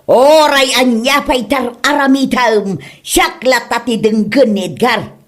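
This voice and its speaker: A woman acts out an elderly, complaining mother-in-law in a comic audio drama. She speaks in a high voice at a very slow pace, groaning and whining with theatrical self-pity. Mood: demanding, scolding. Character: scheming, complaining elderly mother-in-law, melodramatically feigning dizziness. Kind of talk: comedy radio drama acting.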